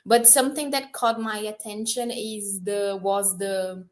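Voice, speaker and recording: A woman's voice: medium pitch, flat delivery, a little slow. A woman whose first language is Portuguese asks a scholarly question at an academic event, hesitating and correcting herself. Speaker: event moderator and student.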